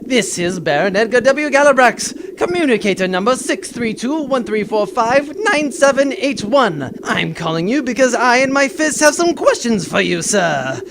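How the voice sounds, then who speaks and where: A man's voice: extremely high pitch, sing-song, normal speed, gravelly, hardly any pauses, background noise, heavily acted. A man playing a hot-tempered, grandiose, combative baron in a comic audio drama, bombastic and pompous. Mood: indignant, blustering.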